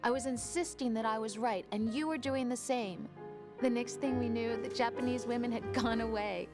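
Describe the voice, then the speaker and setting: A woman's voice: a little high, fairly even intonation, moderate pace, over loud music, close to an everyday voice. An actress playing an affectionate young woman fondly recalling a first date. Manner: soft, fond, reminiscing.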